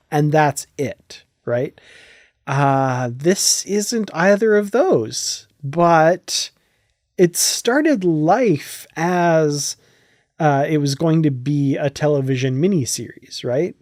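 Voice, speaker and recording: An excitable man hosting a podcast, with a voice pitched a little above average. He talks effusively and with enthusiasm, as in a casual discussion. The sound is close and dry, with little room to it. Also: no room sound. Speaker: podcast host.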